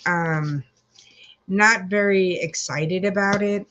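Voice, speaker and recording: A woman's voice: medium pitch, slow pace, a bit bright, muffled recording, a little hiss or hum. A woman hosting a casual live chat, congested, rambling with many fillers as she greets viewers. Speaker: livestream host.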